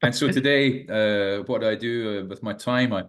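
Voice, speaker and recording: A man's voice: on the low side, moderate pace, fairly bright tone. A Scottish man in his early sixties, a management teacher and author, talking casually as an interview guest, hesitant with many fillers and restarts. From Scotland. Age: 60s.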